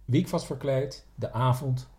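A man's voice: mid-range voice, a little slow, darkish tone, slightly rough. A man co-hosting a podcast, telling stories and explaining things in a casual, conversational way. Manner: explanatory, anecdotal. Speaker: podcast co-host.

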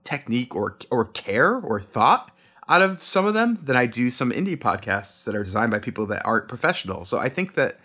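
A man's voice: medium pitch, fairly quick. A man talking casually as a podcast guest about sound design, rambling a little with many restarts. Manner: relaxed, rambling with frequent restarts. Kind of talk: casual chat.

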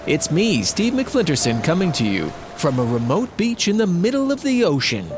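A man playing a showy reality competition host in an audio drama, with theatrical announcer patter. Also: high-pitched. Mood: showy excitement. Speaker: game show host. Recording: loud music bed behind voice.

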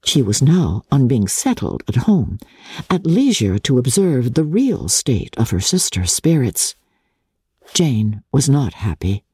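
A woman reading literary narration in a measured, formal and expressive dramatic style. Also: rumbling low, husky, no room sound, clean studio sound.

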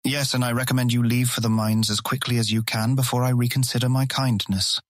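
A man's voice: mid-range voice, level tone, fairly quick, no echo, clean studio sound, close to an everyday voice. An actor playing a stern, suspicious guild guardsman in an audiobook drama, curt and commanding, short on patience. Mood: angry warning.

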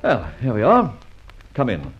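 An actor playing a breezy, teasing gentleman adventurer in a mid-century radio drama, with flippant, theatrical banter and old-fashioned British slang. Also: medium pitch, huge pitch swings, unhurried, soft background music. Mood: brisk, bantering. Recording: dull, muffled old radio recording.

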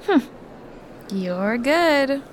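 An actress playing a friendly, meticulous coin appraiser in an audio drama, speaking in a helpful, precise, conversational way. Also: female voice, high voice, sing-song, extremely slow, slight background noise, exaggerated voice. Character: friendly, meticulous woman appraising coins at a show booth.

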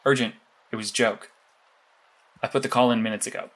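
A man's voice: mid-range voice, fairly even intonation, a little fast, fairly bright tone, close to an everyday voice. A man playing an analytical superhero mastermind in an audio drama, with a precise, explanatory way of talking. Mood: analytical, matter-of-fact. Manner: precise, explanatory, matter-of-fact. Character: cerebral analytical male superhero mastermind guiding a young intern.

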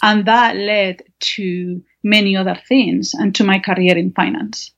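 A woman's voice: medium pitch, fairly even intonation, measured pace, gravelly. A woman from Spain with a Spanish accent, telling her personal story as a guest author in an interview. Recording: dull, band-limited lo-fi sound.

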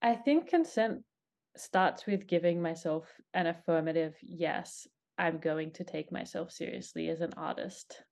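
An artist answering interview questions in a thoughtful, deliberate way, pausing between phrases. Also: medium pitch, gravelly, a little mellow. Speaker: artist, podcast guest.

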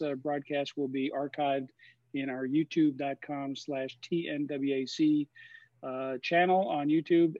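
Man, host, halting with fillers, dry, dull lo-fi audio. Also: medium pitch, a little mellow, no room sound. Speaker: host of a webinar Q&A. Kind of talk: casual moderated Q&A.

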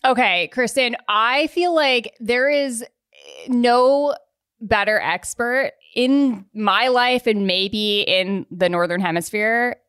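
A woman's voice: a little high, slow, a bit bright, smooth, dry recording, clean studio sound. A woman, probably in her thirties, co-hosting a podcast in a casual, chatty style. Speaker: podcast co-host.

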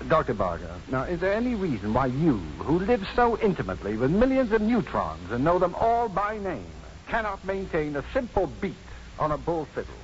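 An actor playing a witty, self-assured clinic doctor in a 1950s radio drama, urbane and authoritative. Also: male voice, fairly high pitch, very animated, moderate pace. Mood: teasing. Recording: muffled, noisy 1950s radio recording.

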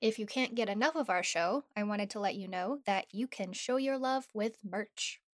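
A woman's voice: medium pitch, moderate pace, dry recording. A woman who created the show, reading scripted podcast announcements in a playful, upbeat manner. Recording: dry, faint music behind.

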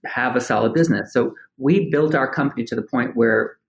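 A man's voice: mid-range voice, brisk, vocal fry, low-quality recording. Candid man, hesitant interview guest. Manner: candid, hesitant, restarts phrases. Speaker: guest, farm business owner. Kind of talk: conversational interview.

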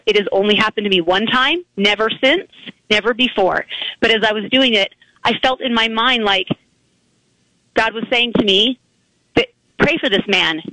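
A hoarse grandmother-aged woman speaking over a phone line, with a bright, forward tone. She talks earnestly and warmly, stopping and restarting her sentences as she goes. The sound is dry and close. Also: fairly high pitch, no echo. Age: older. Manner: earnest, emotional, halting with restarts. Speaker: caller. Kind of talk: heartfelt testimonial on a call-in radio show.

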